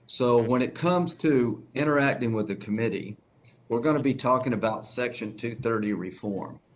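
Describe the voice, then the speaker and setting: A man's voice: medium pitch, moderate pace, slight background noise. A man with a Southern accent, a senator pressing a nominee with folksy, direct questions at a hearing.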